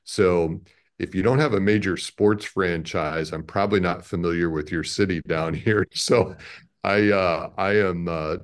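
A man in his fifties from Chicago with an American accent, speaking as a guest in a conversational interview. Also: sits low. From Chicago, American Midwest. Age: 50s. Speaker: radio network president, interview guest. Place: indoor room, on a video call.

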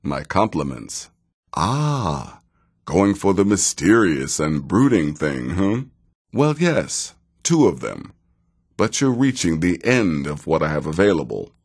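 An actor playing a cynical, seasoned male assassin in a video game, with a dry, deadpan and deliberate manner. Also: sits low, husky, heavily acted. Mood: sardonic, amused. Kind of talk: video game character dialogue.

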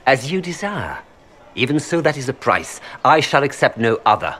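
An actor playing a suave, calculating merchant in a video game, speaking formally with a French accent. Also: male voice, mid-range voice, very animated, normal speed, a bit bright. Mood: courteous, satisfied. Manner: courtly, formal, smoothly unapologetic. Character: suave, calculating Orlesian merchant seeking noble influence. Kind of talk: video game dialogue acting.

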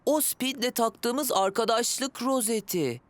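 A woman voicing a cheerful, vain but loyal young car in a children's cartoon dub, expressive and sweet. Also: a little high, slow, no room sound. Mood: earnest.

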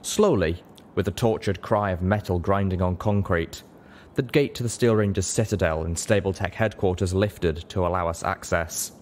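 A man narrates a written story in a fairly low voice. He reads as an audiobook narrator would. Some noise sits behind the voice. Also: normal speed, a little mellow. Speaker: audiobook-style reader, show host.

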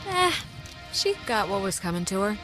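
A woman playing a quick-witted, sardonic and defiant teenage girl in a naturalistic video game. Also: medium pitch, sing-song, over music, natural voice. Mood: wry. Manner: snarky, casual, blunt.

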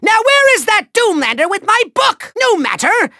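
A male actor voicing a pompous, childish little evil overlord in a video game, shrill and gravelly, grandiose, gloating and whiny. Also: very animated, moderate pace, bright, forceful tone, no room sound, studio quality, full character voice. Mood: smug, mocking. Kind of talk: theatrical video game villain acting.

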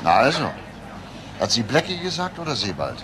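An actor playing a brusque police detective in a radio crime drama, questioning in a brisk, pressing way. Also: male voice, medium pitch, huge pitch swings. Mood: impatient, probing. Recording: noisy radio drama, background ambience.

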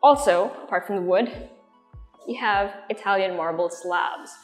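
A woman's voice: medium pitch, unhurried. A young woman with a Filipino accent presenting a house tour in a casual, conversational way, walking through large empty rooms. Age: young adult. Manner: chatty, conversational. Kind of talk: casual real estate walkthrough presentation. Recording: long reverb of an empty room. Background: music.

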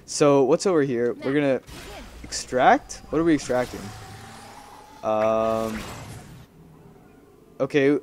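A young man giving casual, rambling gameplay commentary, chatty and playfully repeating his phrases. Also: on the high side. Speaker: gaming video host.

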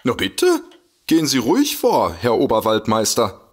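A man's voice: mid-range voice, huge pitch swings, normal speed. An actor playing a sardonic, easily exasperated male detective in a comedic audio drama.

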